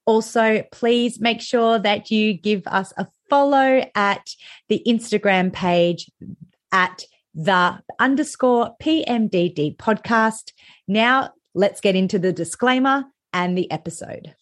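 A woman's voice: medium pitch, slow. A woman with an Australian accent hosting a podcast interview, chatty and enthusiastic, often restarting her sentences. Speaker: podcast host.

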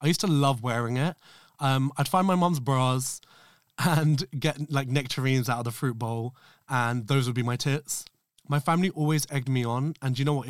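A man's voice: mid-range voice, no room sound, studio quality. Camp, sassy man hosting. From England, east London. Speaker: podcast host. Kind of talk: scripted personal narration.

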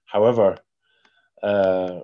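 A man chatting casually in a hesitant, halting way. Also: deep voice, fairly even intonation. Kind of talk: casual chat. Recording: dull, muffled lo-fi sound.

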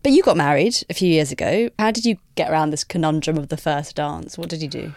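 A woman co-hosting a podcast, chatty and quick to laugh. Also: sits low, a little mellow, dry recording. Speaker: podcast co-host. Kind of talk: podcast discussion. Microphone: studio mic.

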